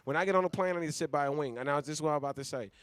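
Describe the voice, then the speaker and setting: Male talk-show host, rapid and dry-miked, slangy, sweary, comic ranting. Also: on the high side, dry recording. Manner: profane, slangy, animated ranting with rhetorical questions. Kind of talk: casual comic banter on a live talk show.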